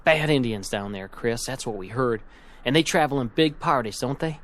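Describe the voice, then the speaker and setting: A man's voice: medium pitch, animated, normal speed, natural voice. A man playing a weary, sceptical frontier settler with rustic, folksy speech in a radio drama. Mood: wary concern. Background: music.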